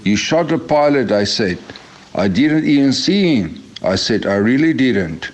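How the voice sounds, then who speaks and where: A man with a medium-pitched voice delivers a first-person testimony as a voice-over. He recounts it like spoken recollection, quoting exchanges as he goes. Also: very animated, a little slow, lo-fi sound.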